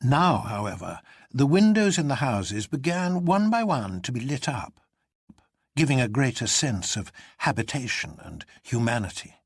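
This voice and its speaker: A man's voice: mid-range voice, sing-song, moderate pace, husky, no room sound, studio quality. A man reading a literary adventure story aloud as a dramatic narrator. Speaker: audiobook narrator.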